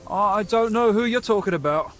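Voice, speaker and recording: An actor playing a nervous, gullible young medieval bandit in a game, with a hesitant, stammering, excitable way of talking. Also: male voice, high voice, moderate pace, soft background music, natural voice. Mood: evasive, uneasy. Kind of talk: video game dialogue acting.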